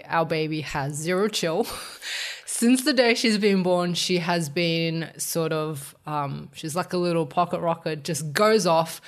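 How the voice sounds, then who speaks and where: A young woman with a slightly raspy, run-down voice, co-hosting a relaxed chat podcast. Her voice sits a little low, and she talks loosely, with plenty of ums. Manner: chatty, with many ums. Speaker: podcast co-host. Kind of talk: casual chat.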